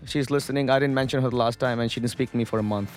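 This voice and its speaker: A man's voice: medium pitch, fairly even intonation, rapid-fire, faint music behind. A young man with an Indian accent, a stand-up comedian chatting as a radio guest, rambling with lots of fillers and restarts. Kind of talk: casual radio chat. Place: radio studio.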